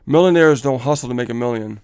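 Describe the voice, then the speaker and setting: A man's voice: medium pitch, quick pace, a little mellow, clear, resonant tone. A man co-hosting a casual live coaching stream, upbeat and motivational, laughing often and reading viewer comments aloud.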